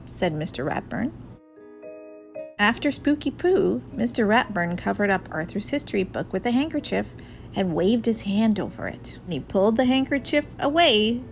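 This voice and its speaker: A woman narrating a children's storybook in an expressive, scripted read-aloud style. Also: mid-range voice, normal speed, gravelly. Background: music.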